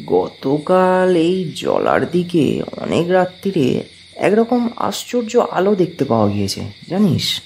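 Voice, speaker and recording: Man acting a husky, animated village lad. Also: high-pitched, takes it slowly, heavily acted. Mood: conspiratorial. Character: village friend Tinkori, putting on bravado over hidden fear. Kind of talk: dramatised audio story dialogue.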